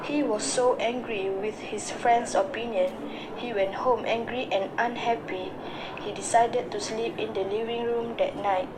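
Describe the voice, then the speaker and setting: A woman's voice: fairly high pitch, steady tone, a little slow. A woman narrating a radio drama from a script. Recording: noisy amateur recording.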